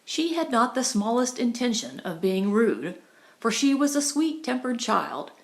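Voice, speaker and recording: A woman's voice: on the high side, sing-song, measured pace. A woman reading a novel as an audiobook narrator, in a scripted storytelling style. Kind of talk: scripted narration of a 1920s romantic comedy novel.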